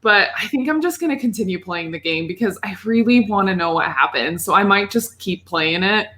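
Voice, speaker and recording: Bright-voiced woman in casual podcast chat. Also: medium pitch, expressive, fairly quick, slight background noise. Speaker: podcast co-host. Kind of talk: casual chat about video games.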